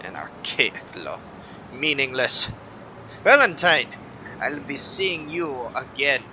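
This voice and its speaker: An actor playing an arrogant, menacing supernatural villain in an audio drama, speaking with theatrical, mocking disdain. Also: male voice, medium pitch, big pitch swings, slow, sharp tone, a little hiss or hum, heavily acted, rough recording. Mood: smug disdain. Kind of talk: scripted audio drama acting.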